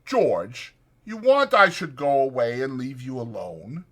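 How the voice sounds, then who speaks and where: A man's voice: mid-range voice, big pitch swings, unhurried, fairly bright tone, voice pushed up. A man voicing a timid, childlike, simple-minded man who pleads naively in simple rural American speech. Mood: wounded.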